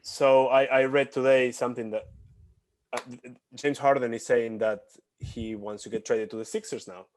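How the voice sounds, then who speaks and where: Animated Argentinian-born podcast co-host. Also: male voice, mid-range voice, normal speed. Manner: animated, repeats himself emphatically. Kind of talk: casual basketball chat. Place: home room, video call. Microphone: headset.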